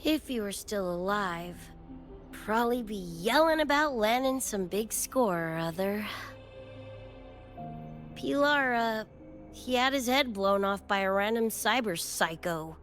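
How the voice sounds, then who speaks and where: A woman's voice: mid-range voice, lively intonation, unhurried, fairly bright tone, soft background music. A woman playing a brash, scrappy young cyberpunk gang member in a game, with cocky, slangy talk and a wistful side. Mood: flippant, bitter. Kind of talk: animated game character dialogue.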